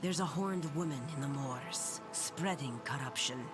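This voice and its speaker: Guy doing tired bitter knight boss in a game, gruff and grudging, music playing under him. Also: mid-range voice, measured pace, natural voice. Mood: grudging, weary. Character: weary, embittered knight commander guarding a settlement. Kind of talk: dramatic video game acting.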